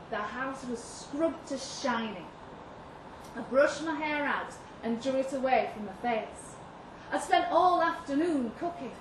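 A woman reads literary fiction aloud at an unhurried pace, leaving deliberate pauses between phrases. Her voice sits a little high and she delivers the story expressively. The live recording is echoey, with clear background noise. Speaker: reader of a short story at a live literary event. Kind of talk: expressive literary story reading in first person. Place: live reading event in an indoor venue. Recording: roomy live venue, distant mic, noisy.

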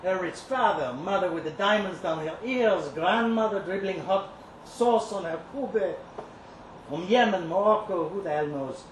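A streetwise, lovelorn male kitchen worker, performed by a man tells his story in a slangy, cynical monologue. The pitch is high and very animated. It is a live reading, heard from a distance in an echoey, noisy room. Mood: bitter, yearning. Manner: colloquial, slangy, conversational swagger with halting pauses. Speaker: actor reading a short story aloud. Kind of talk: dramatic first-person monologue reading. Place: live reading event in an indoor venue. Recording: roomy, noisy live event, distant mic.

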